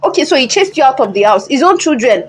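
A Nigerian woman playing a calm, devout older confidante in an audio drama, counselling in a soothing but firm way. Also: high-pitched, quick pace, slightly rough, full character voice. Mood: firm, reassuring. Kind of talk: conversational audio drama acting.

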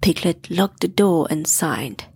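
Woman reading a kids bedtime story, soft n slow, creaky, close dry sound. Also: fairly low pitch, lively intonation, no echo. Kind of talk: scripted bedtime story narration for children.

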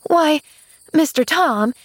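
A woman's voice: high-pitched, expressive, slow pace, no room sound, voice pushed up. A woman doing an eager, bubbly little girl. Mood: earnest.

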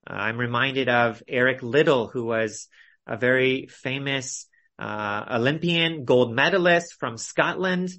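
A man with a mid-pitched voice gives a Bible study as a teacher. He explains and applies the text earnestly, in measured, instructive phrases. He is speaking on an online video call. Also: fairly bright tone.

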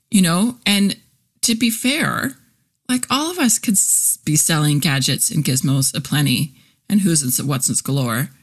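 A woman in her forties hosting a casual solo podcast, chatty and candid, full of fillers and easy laughs. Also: on the low side. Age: 40s. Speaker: podcast host, copywriter and content teacher.

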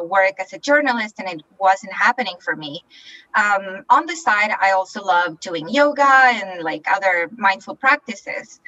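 Woman from Chile, life coach, bright voice, confident and upbeat storytelling. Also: medium pitch, muffled recording. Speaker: life coach and Reiki master. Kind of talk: podcast interview guest.

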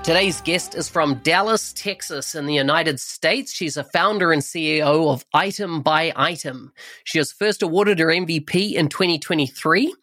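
A man hosting a podcast, reading a measured scripted intro and then chatting with a guest. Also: a little high, pushed and bright, soft background music. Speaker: podcast host.

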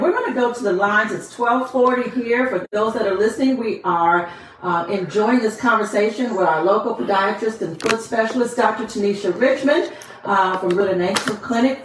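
A woman's voice: mid-range voice, slight background noise. Woman radio host, echoey and distant. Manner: upbeat, announcer-like, spells out details. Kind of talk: radio call-in hosting.

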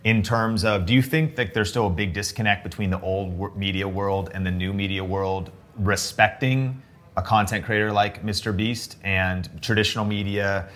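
A man's voice: on the low side, steady tone, normal speed, fairly bright tone. A man interviewing a guest, asking long, loosely worded questions in casual conversation. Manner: long rambling questions with restarts.

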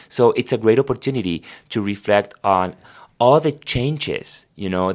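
A priest from Argentina whose first language is Spanish, speaking English with an Argentine Spanish accent and explaining faith reflectively in a podcast chat. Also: male voice, lowish voice. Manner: reflective, explanatory, with frequent "uh" and "I mean". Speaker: priest guest. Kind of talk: conversational religious podcast talk.

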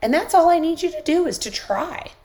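A woman hosting a podcast, giving an encouraging motivational talk while sick with a squeaky voice. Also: expressive, brisk, darkish tone. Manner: encouraging, coaching listeners directly. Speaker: podcast host.

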